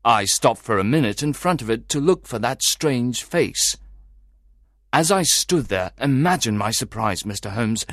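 Actor as a worried husband, very animated storyteller. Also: male voice, mid-range voice, unhurried, dry recording. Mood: uneasy, recounting. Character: worried, suspicious husband recounting his troubling story to a detective. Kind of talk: dramatised audiobook narration.

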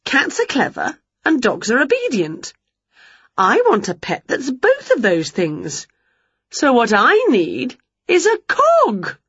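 A woman reading a storybook aloud, voicing an excitable, scatterbrained female witch in a shrill, exclaiming voice. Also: slow pace, husky, dry recording, dull audio, full character voice. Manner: shrill, exclaiming, squeaky high.

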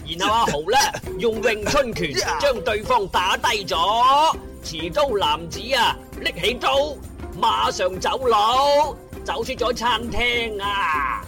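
A colloquial, teasing storyteller, narrating a comic radio drama with drawn-out emphasis. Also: mid-range voice. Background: music.

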